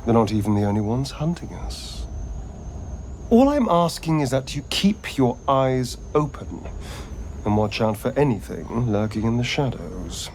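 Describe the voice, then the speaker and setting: An actor playing a suave, sardonic vampire rogue in a video game, with an arch, theatrical drawl. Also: male voice, medium pitch, sing-song, measured pace, darkish tone, a little hiss or hum. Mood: bitter, guarded. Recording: music playing behind the voice.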